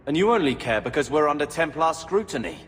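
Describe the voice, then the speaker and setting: A man's voice: fairly high pitch, sing-song, quick pace, a bit bright. A man playing a bitter, sarcastic young man, an aggrieved younger brother in a video game. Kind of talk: dramatic video game acting. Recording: long reverb with background music.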